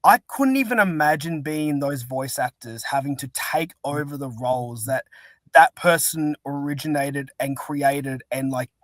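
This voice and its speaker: A young male podcast host with a slightly high voice and a dry, close sound. He talks earnestly, circling back and restarting his sentences. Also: measured pace, fairly bright tone, dry recording. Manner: earnest, rambling with many restarts. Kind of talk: casual interview chat.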